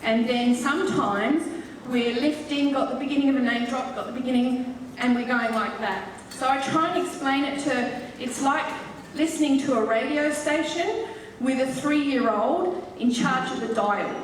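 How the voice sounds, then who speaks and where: A woman's voice: high-pitched, normal speed, few pauses. A woman with an English accent, a medium giving a chatty, joking live talk to an audience. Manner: chatty, joking, explaining with laughs. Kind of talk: live stage demonstration talk. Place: large echoey festival hall. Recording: roomy hall, far from the mic, faint hiss.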